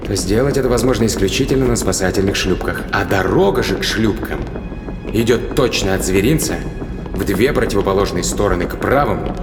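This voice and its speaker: A man's voice: on the low side, brisk, natural voice. An actor playing a bossy, curt spaceship crewman who lays out orders in a science fiction audio drama. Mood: urgent, explaining. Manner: commanding, curt, explanatory. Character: tense, bossy male spaceship crewman planning an ambush. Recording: echoey hall reverb under music. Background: music.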